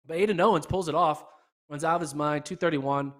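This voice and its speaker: A man hosting a casual solo podcast recap, chatty and excitable, with a voice going hoarse. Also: fairly high pitch, fairly quick. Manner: excitable, chatty, many fillers. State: losing his voice. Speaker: podcast host.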